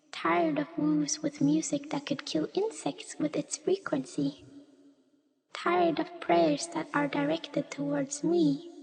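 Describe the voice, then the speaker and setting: A woman's voice: high-pitched, vocal fry, darkish tone, exaggerated voice. A woman playing a weary, cryptic otherworldly small creature in a poetic radio play, speaking in solemn riddles. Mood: weary, fed up. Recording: long cavernous reverb.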